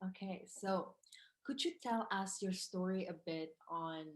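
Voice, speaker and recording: Woman host, polite, hesitant questions, mid pitch, roomy echo. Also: measured pace. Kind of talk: interview hosting. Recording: echoey room.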